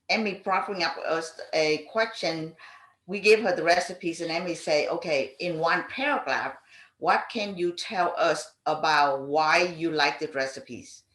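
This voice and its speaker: Echoey, lower-voiced woman with non-native English. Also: a bit bright. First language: not English. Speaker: panelist, community advocate. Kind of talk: panel discussion, personal recollection. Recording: echoey room sound.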